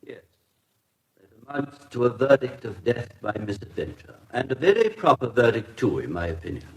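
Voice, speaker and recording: An actor playing a formal, courteous British coroner in a radio drama, with measured official diction. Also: male voice, mid-range voice, big pitch swings, low-quality recording. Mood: formal, satisfied. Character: formal, courteous English coroner presiding over an inquest.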